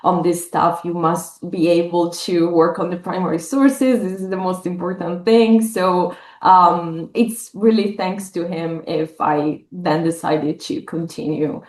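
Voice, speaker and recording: Scholar guest: Italian-accented woman in her thirties, low-pitched, reflective, recorded in a reverberant room. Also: steady tone, unhurried. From northern Italy. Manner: thoughtful, many fillers, warm laughs. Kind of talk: academic interview.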